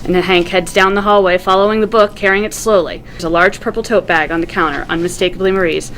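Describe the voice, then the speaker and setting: Woman, mid pitch, screenplay narration, table read, vivid storytelling, faint background noise. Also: steady tone, quick pace, fairly bright tone, poor audio. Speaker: stage directions reader.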